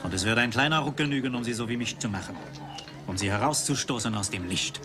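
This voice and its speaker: An actor playing a suave, cynical rival archaeologist in a dubbed film, speaking in a polished, philosophical way. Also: male voice, medium pitch, fast, a crowd in the background, close to an everyday voice. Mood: suave, condescending. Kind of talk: dubbed 1980s film dialogue. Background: film music.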